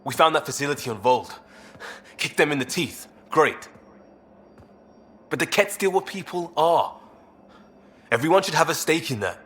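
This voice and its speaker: A man's voice: a little high, expressive, normal speed, pauses often, fairly bright tone. An actor playing an idealistic, restless young British crewman in a video game, speaking in clipped informal phrases. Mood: frustrated. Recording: game dialogue over faint music.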